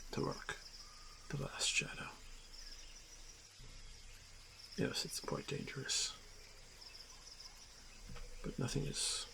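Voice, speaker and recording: A man playing a stoic, honor-bound orc warrior who is gently protective, speaking in hushed, halting, formal phrases for a fantasy ASMR roleplay. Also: medium pitch, gravelly. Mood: grave, determined. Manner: hushed, halting, formal speech with frequent pauses. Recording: noisy track with night insect ambience. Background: crickets and insect ambience.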